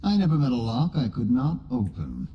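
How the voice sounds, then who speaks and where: An actor playing a cocky, quick-witted rogue with a wry, flippant manner in a video game. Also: male voice, mid-range voice, huge pitch swings, dull audio. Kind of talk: video game character acting. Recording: game audio with long reverb, faint noise.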